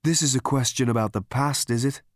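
A gentle, detached hermit philosopher as a man reads him from a storybook. The voice is mid-pitched and close, with little room sound, musing mildly and politely as if doubtful of everything. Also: fairly quick, no echo, natural voice.

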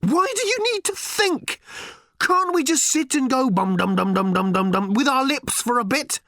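A man's voice: mid-range voice, very animated, a little slow, a bit bright, no room sound, clean studio sound, voice pushed down. A man voicing an exasperated, weary, sarcastic man in a storybook reading, rambling and prone to bellowing outbursts. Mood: exasperated despair.

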